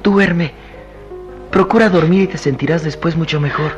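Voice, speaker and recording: A high male voice from an actor plays a troubled, tender young doctor in a radio serial. His delivery is earnest and theatrical, with faint music behind it. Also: moderate pace, dull audio, close to an everyday voice. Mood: tender, soothing. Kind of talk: Latin American radio drama acting.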